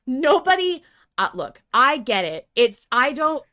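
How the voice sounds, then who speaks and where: A woman with a fairly high voice talks as a podcast co-host. Her delivery is casual and emphatic, pressing her points like someone in a friendly debate. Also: big pitch swings, measured pace, bright, forceful tone. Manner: emphatic, argumentative, swears. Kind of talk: animated casual discussion of an audio drama.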